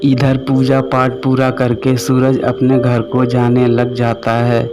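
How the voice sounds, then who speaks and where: Male narrator for cartoon tales: slow, flat delivery, mid pitch, music underneath. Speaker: storyteller, narrator. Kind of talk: scripted cartoon story narration. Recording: music behind the voice.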